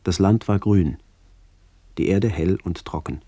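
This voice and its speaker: Man, very deep, slightly husky, dark tone, measured fragmentary literary narration, radio documentary. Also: no room sound. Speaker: narrator recalling childhood memories.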